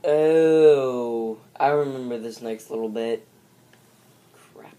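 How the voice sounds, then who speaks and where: A man with a congested, coughing voice hosting a casual let's play, speaking in a slow, apologetic way from a dim room at home. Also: mid-range voice, mellow. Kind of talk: casual gameplay commentary.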